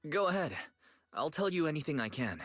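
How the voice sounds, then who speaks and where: A man's voice: fairly high pitch, big pitch swings, fairly quick, fairly bright tone, no echo. An actor playing a kind, easygoing young man in an anime-style video game, talking in a friendly, reassuring way. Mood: reflective, reassuring. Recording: background music under voice.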